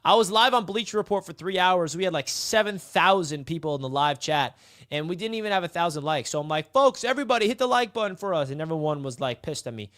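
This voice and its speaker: A man's voice: on the high side, lively intonation, quick pace, fairly bright tone. A man hosting a casual live sports stream, talking straight to his chat in a hyped, chatty way. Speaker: livestream host. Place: outdoors in the sun.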